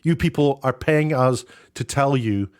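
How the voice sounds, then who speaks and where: A man's voice: medium pitch, a little slow, darkish tone, clear, resonant tone. British male commentator, casual and opinionated, mocking delivery with an incredulous, exasperated edge. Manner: sarcastic, mocking. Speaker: YouTube commentator.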